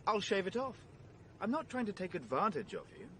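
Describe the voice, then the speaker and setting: Hoity-toity posh young english chap, old radio play, highish voice, swoopy delivery. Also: male voice, wildly swooping pitch, fairly quick, a bit bright, slight background noise, exaggerated voice. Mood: smitten. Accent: upper-class English. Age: young adult. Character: gallant, pompous, posh young gentleman, smitten and naive. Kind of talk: vintage BBC radio drama acting.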